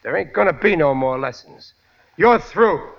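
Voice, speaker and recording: An actor playing a dim, hot-headed, jealous working-class husband, blustery and ungrammatical, in old-time radio comedy style. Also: male voice, on the high side, expressive, moderate pace, vocal fry. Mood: indignant. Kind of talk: 1940s-50s radio comedy acting. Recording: muffled old radio recording.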